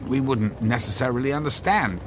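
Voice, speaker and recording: A man's voice: medium pitch, brisk, background noise, natural voice. An actor playing an anxious, cautious husband in a radio drama, hesitant and stammering as he pleads. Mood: worried, pleading. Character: anxious, cautious husband, reluctant inventor of a device.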